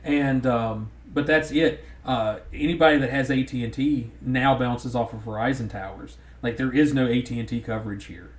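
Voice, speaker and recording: A man's voice: medium pitch, moderate pace, hardly any pauses. Southern man, podcast co-host, rambling casual delivery, echoey room. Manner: rambling, many restarts. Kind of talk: casual chat. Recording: roomy echo.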